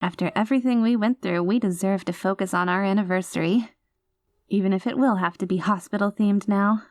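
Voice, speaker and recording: A woman's voice: medium pitch, fairly quick, no room sound, studio quality, lightly acted. A woman acting an anxious, clingy, doting girlfriend with playful menace, in an intimate, emotive audio roleplay. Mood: bossy, affectionate. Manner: emotive, flustered, affectionate, teasing.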